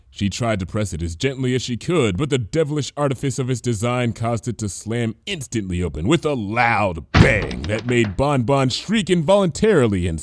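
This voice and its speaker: A man's voice: lowish voice, a little mellow, dry recording, clean studio sound. A man reading a story aloud as narrator, in a scripted storybook style. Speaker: fan fiction reader, narrator.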